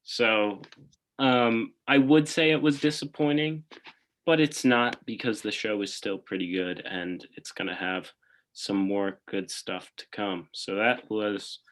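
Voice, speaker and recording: A man with a low voice and a bright, forceful edge, co-hosting a podcast. He talks casually and thinks out loud, hedging as he goes. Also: measured pace. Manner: thinks aloud and hedges often. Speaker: podcast co-host. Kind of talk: casual chat.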